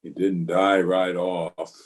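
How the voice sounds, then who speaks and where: Man acting as a weary, low-voiced frontier marshal. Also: flat delivery, slow pace. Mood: grave, earnest. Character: weary, conscience-stricken frontier marshal, grave and plainspoken. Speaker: actor and narrator in an amateur radio play. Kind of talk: old-time radio western acting. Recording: voice recorded over an internet call.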